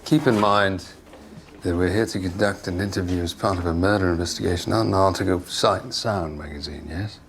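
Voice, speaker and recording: Low-voiced, weary police inspector. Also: male voice, a little fast, gravelly, a little hiss or hum. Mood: dry, weary. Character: weary, dry police inspector on a murder case.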